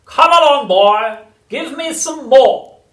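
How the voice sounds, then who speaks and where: A wild, scrawny old grandmother from a storybook shrieks in a high, screechy voice. She drags her words out in long, loud yells. The sound is roomy and set back from the mic. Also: lively intonation, heavily acted. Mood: gleeful yelling. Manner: loud, drawn-out yelling. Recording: roomy, from a distance.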